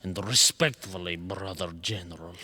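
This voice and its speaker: Deep, forceful, wary officer. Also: male voice, breathy edge, exaggerated voice. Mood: distrustful. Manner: formal, deliberate. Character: wary, respectful male officer of a warlord. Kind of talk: fantasy audio drama acting.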